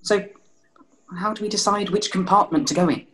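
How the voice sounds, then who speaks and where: A man's voice: high-pitched, normal speed, fairly bright tone. A young actor playing an anxious eleven-year-old boy with a hesitant, worried way of talking, in a stage play read on video call. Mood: awkward. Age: young adult. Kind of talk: stage drama table read. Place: small room at home, video call.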